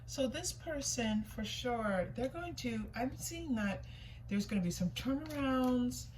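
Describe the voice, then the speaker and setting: A woman's voice: a little high, lively intonation, unhurried, darkish tone. A woman giving a casual, intuitive tarot reading, thinking aloud in an informal way, often repeating herself and questioning what she sees. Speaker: tarot reader hosting a reading. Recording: clear background noise, room ambience.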